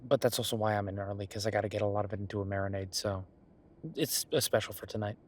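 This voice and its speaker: A man playing an affectionate, teasing chef boyfriend in an intimate roleplay audio, talking in a conversational, reassuring way with a husky voice. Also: lowish voice, flat delivery, fast talker, close to an everyday voice. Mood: playful, sheepish. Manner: conversational, reassuring, playfully bantering. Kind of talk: intimate one-sided boyfriend roleplay acting.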